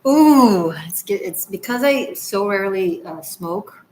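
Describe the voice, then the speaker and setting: A woman's voice: mid-range voice, a little slow, a little hiss or hum, rough recording. A woman from Israel hosting a casual livestream, chatting loosely and answering viewers' comments with frequent restarts. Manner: relaxed, chatty, with frequent restarts and soft trailing asides. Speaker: livestream host, tarot reader.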